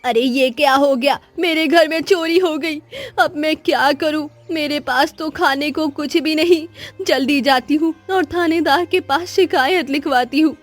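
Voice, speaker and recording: Woman playing a high-pitched, nagging old village woman. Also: unhurried, soft background music. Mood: distressed. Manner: whining, demanding, dramatic. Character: cranky, nagging, scheming old village woman who complains constantly. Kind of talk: children's cartoon moral story acting.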